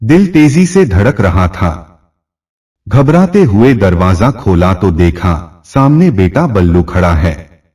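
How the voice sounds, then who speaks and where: A male storyteller with a low, husky voice reads a scripted tale. He goes slowly but moves his pitch around a good deal, and sounds slightly away from the microphone. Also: very animated, darkish tone, far from the mic.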